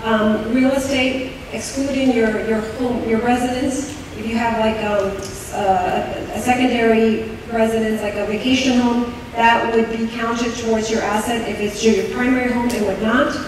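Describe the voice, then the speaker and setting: A woman's voice: a little high, fairly even intonation, normal speed, few pauses. A woman explaining benefit rules at a town hall meeting, speaking hesitantly with many ums and restarts. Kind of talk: informal public talk with many fillers. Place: large echoey meeting hall. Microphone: room mic heard from a distance. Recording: echoey hall recording from far off with background noise.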